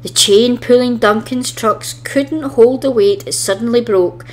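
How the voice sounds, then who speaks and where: A woman's voice: fairly high pitch, measured pace. A woman narrating a children's story expressively, reading from a script as a storybook reader.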